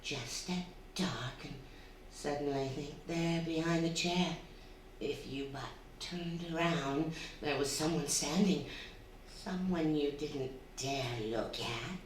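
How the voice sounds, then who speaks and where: A woman playing a sinister, taunting undead female spirit in a radio drama, with a coaxing, theatrical, drawn-out delivery. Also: deep voice. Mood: sinister, commanding. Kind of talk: stage radio drama acting. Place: theatre stage before a live audience. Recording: very echoey hall, distant, faint noise.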